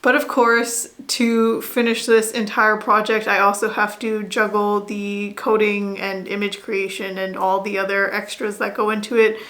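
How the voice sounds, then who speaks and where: A woman's voice: medium pitch, little pitch movement, measured pace, few pauses, fairly bright tone. A woman vlogger talking casually to camera, musing and thinking aloud about her writing. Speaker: vlogger and writer. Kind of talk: casual vlog chat to camera.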